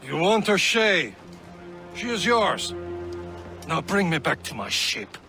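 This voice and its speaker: An actor playing a pompous, hot-tempered French nobleman sea captain in a video game, with a French accent and a raspy, haughty delivery. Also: high-pitched, big pitch swings, takes it slowly, music in the background, full character voice. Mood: curt, grudging. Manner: haughty, scolding, theatrical. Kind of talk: video game cutscene acting.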